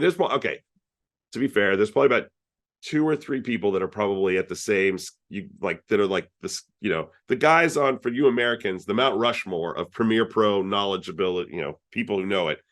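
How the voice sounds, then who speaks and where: A man's voice: fairly low pitch, a little fast, a bit bright. A man hosting an online user group meeting, chatting casually through announcements with many fillers and restarts over a video call.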